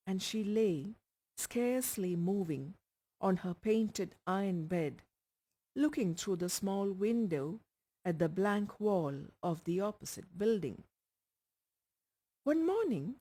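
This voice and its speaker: A woman with an Indian accent reading a short story aloud, careful and expressive. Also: medium pitch, takes it slowly, breathy edge. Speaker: story reader. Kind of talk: scripted story narration.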